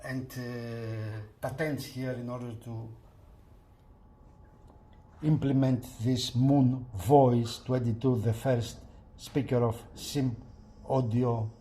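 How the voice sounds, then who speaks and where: A man presents a product in an unhurried, casual way. His voice is soft-edged and mellow, and his delivery is halting, often repeating a phrase as he goes. There is light background noise behind him. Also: mid-range voice, fairly even intonation. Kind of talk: casual unscripted product walkthrough.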